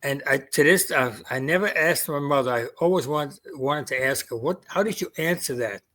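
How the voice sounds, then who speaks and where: A man's voice: on the high side, moderate pace, bright, forceful tone. Elderly Queens-accented man reminiscing. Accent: New York, Queens. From Germany. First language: German. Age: 80s. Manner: reminiscing, quoting family dialogue with hesitations. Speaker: veteran guest. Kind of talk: casual interview storytelling.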